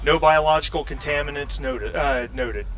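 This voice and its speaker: A man narrating a tabletop roleplaying game as game master, speaking in a halting, hesitant way. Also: on the high side, steady tone, background noise.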